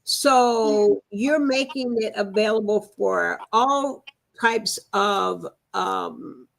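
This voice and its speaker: Gushing woman host with a gravelly edge. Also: medium pitch, drawn-out words, rough recording. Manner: effusive, repeats thanks, lots of uh fillers. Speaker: talk show host. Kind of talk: casual book talk interview.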